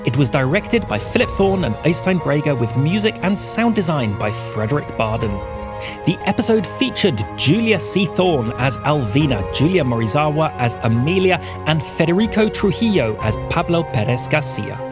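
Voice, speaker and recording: A woman announcer reads scripted podcast credits. Her pitch moves in a lively way, with clear music playing behind her. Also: normal speed.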